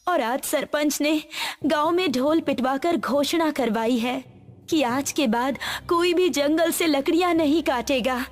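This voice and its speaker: Woodcutter's wife for a moral tale, acted by a woman: high, earnest, careworn. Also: a little slow, faint music behind. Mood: tearful worry. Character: poor woodcutter's worried, loving village wife.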